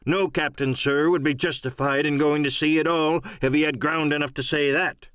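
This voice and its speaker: A man's voice: on the high side, fairly even intonation, normal speed, fairly bright tone, clean tone, no room sound, voice pushed up, lightly acted. A stern, middle-aged sea captain, acted by a man for an audio adventure play. He speaks bluntly and formally in clipped phrases, plain and dutiful, with a close, dry sound. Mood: grave, dignified. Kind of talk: audiobook radio-play acting.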